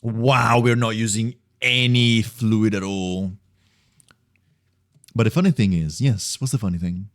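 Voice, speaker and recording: A man's voice: on the low side, steady tone, measured pace, dry recording, studio quality. A man chatting casually on a game livestream, thinking aloud in a rambling, repetitive way. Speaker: game streamer. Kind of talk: casual livestream chat while gaming.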